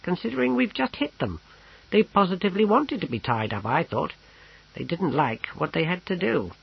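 A man's voice: high voice, big pitch swings, moderate pace, gravelly, slightly rough, highs cut off, voice pushed up, heavily acted. A man doing a musing, talkative companion.

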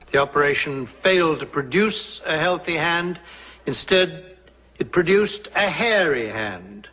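An actor playing an exacting older law professor in a film, lecturing formally and putting pointed questions in a reverberant hall. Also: male voice, fairly high pitch, pushed and bright, heavily acted.

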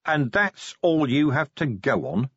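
A man voicing a gruff, combative middle-aged police commander in an audiobook, blunt and sardonic. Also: mid-range voice, big pitch swings, measured pace, no room sound, muffled recording, pitched above the performer's own voice. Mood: challenging. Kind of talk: audiobook character acting.

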